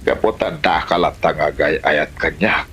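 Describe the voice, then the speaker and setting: Actor playing a feeble doting old man, bottomless low pitch, strained sharp tone, Ilocano Filipino accent, comedy drama. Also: steady tone, moderate pace. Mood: weak, doting. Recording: faint noise behind the voice.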